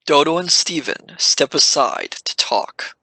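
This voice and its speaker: A man's voice: a little high, lively intonation, slow pace, pushed and bright, no room sound, rough recording. A man reading scripted scene narration for an audio drama.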